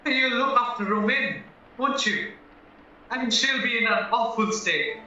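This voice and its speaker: An actor as a naive young man accused of murder in an audio drama. His voice is high and sharply bright, full of nervous worry, in a very echoey room. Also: unhurried, soft background music, heavily acted. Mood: worried, pleading. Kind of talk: stage-style radio play acting. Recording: echoey, distant, muffled room recording.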